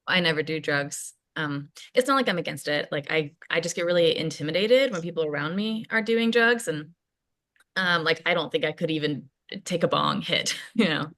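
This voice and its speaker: Bright, rambling college girl played by an actress. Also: deep voice. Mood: nervous, candid. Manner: halting, rambling, peppered with "like". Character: chatty, self-deprecating awkward female college student. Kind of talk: table read of a play, naturalistic casual acting.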